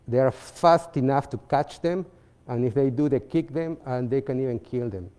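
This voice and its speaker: A man from Peru with a Peruvian Spanish accent, presenting his artwork in a seminar talk, halting with fillers and restarts. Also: medium pitch, dark tone. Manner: halting, many fillers and restarts, non-native phrasing. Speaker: visiting artist giving a seminar talk.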